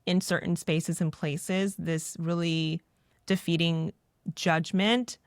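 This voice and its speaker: A woman's voice: on the low side, fairly even intonation, takes it slowly, no echo, clean studio sound. A woman hosting a podcast, speaking earnestly and reflectively and asking guiding questions. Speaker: podcast host.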